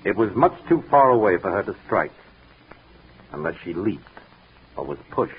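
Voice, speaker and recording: An actor playing a stern, dogged police detective in 1940s radio mystery style, insistent, formal and accusing. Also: male voice, deep voice, very animated, normal speed, slight background noise. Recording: tinny, muffled 1940s radio recording.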